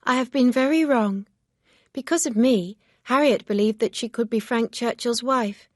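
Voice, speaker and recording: A woman's voice: on the high side, normal speed, no echo, clean studio sound, voice pushed up, natural voice. A woman reading an audiobook, voicing a proud, earnest young woman who speaks with firm emphasis.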